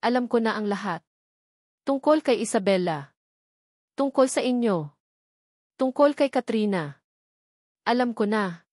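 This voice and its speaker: A woman's voice: fairly high pitch, expressive, a little slow, pauses often, darkish tone, no room sound, studio quality. A woman with a Filipino accent voicing a remorseful, lovesick rich man in a story, earnest and pleading.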